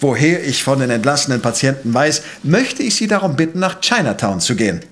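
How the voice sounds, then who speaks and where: An actor playing a rational professor detective in an audio drama, speaking precisely and emphatically in a dismissive, pedantic way. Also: male voice, mid-range voice, sing-song, a little fast, lightly acted. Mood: businesslike, commanding. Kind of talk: German audio drama acting.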